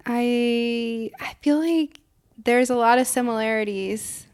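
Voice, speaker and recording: A woman's voice: on the high side, slow pace, a little mellow, clean tone, dry recording, clean studio sound. A young woman from New Hampshire, a podcast guest, speaking thoughtfully and a little hesitantly, with many "like"s. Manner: thoughtful, hesitant, says "like" often. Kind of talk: casual podcast chat.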